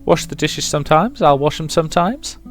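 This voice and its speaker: Man voicing chatty young girl for visual novel; high, childlike, eager and innocent, music underneath. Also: expressive, fairly quick, lightly acted. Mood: earnest, eager.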